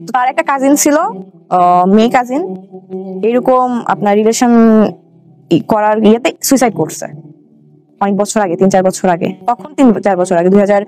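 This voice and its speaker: A woman's voice: medium pitch, moderate pace, pauses often, darkish tone, faint music behind. A young adult woman with a Bangladeshi accent, a guest recounting her own life in a conversational way. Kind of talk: conversational personal storytelling.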